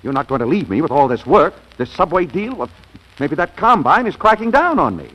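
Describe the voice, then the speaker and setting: Suave bossy businessman, slightly husky man's voice, wildly swooping delivery, breezy, self-assured, 1940s radio comedy. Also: on the high side, sing-song, fairly quick, fairly bright tone, rough recording. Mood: defiant.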